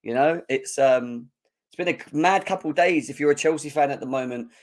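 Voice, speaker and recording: Young bloke on a live footy stream, voice gone croaky and hoarse, chatty and hyped, coughing now and then. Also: male voice, on the high side, fairly quick. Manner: energetic, clears throat and coughs often. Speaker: football fan show host.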